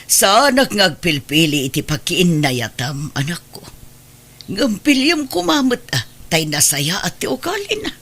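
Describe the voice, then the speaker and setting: A woman's voice: fairly low pitch, big pitch swings, a little slow, fairly bright tone, husky, heavily acted. A woman playing a stern, distrustful middle-aged mother in an audio drama, admonishing her grown son emphatically. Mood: stern, cautioning.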